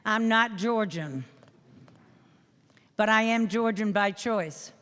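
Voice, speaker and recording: Older lady w new england accent giving a speech, earnest and emphatic, smooth clear voice, big echoey hall. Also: medium pitch, a bit bright. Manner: earnest, emphatic, with measured pauses. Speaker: veteran guest speaker. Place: school hall or gym.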